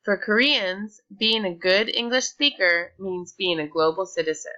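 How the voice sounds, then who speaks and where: Bright-voiced woman reading a presentation. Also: mid-range voice, moderate pace, lo-fi sound. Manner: reads from a script with small slips and restarts. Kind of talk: read-aloud slide presentation.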